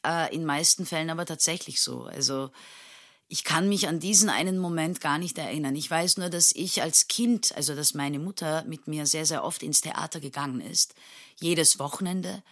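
A woman's voice: deep voice, moderate pace, a bit bright. A thirty-something woman from Turkey speaks in an easy interview conversation, thinking aloud as she goes. Her sentences circle back with fillers and restarts, and she often breaks into a laugh. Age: 30s. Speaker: actress and interview guest.

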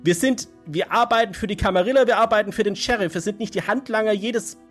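A man's voice: high voice, fast, faint music behind, close to an everyday voice. A man playing a hot-tempered vampire enforcer in an audio drama, insistent and stammering as he repeats himself. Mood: angry accusing. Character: hot-tempered male vampire enforcer defending his loyalty. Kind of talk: German audio drama acting.

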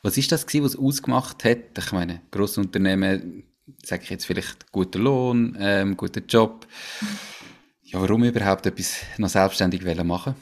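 Podcast host, man, very low voice, curious questioner. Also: normal speed. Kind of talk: casual interview.

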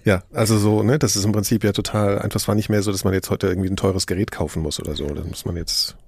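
A man's voice: fairly low pitch, level tone, fast talker, gravelly, a little mellow, breathy edge, no room sound, clean studio sound. A man chatting casually in a colloquial, informal way during a podcast conversation.

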